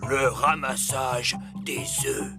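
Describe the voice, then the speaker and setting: A woman's voice: extremely low pitch, fairly even intonation, slow pace. A woman voicing a patient grown-up elf farm worker in a French cartoon, explaining things emphatically. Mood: patient, explanatory. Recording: music playing behind the voice.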